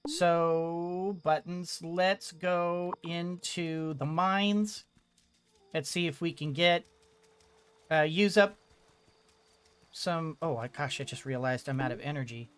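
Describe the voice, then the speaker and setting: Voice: mid-range voice, slow pace, clean tone. A video game streamer giving casual commentary, thinking aloud in a hesitant, rambling way.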